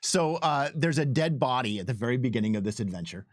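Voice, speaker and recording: A man, a game developer, telling stories in an emphatic, animated way in a casual unscripted livestream chat. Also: mid-range voice, quick pace, dry recording, studio quality. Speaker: game developer giving commentary.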